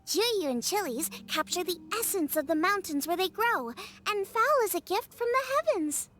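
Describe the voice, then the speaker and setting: A woman's voice: very high voice, expressive, normal speed, fairly bright tone. A woman voicing a cheerful, encouraging young girl chef in a video game, with music behind her. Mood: proud, explaining. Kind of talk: English video game voice acting.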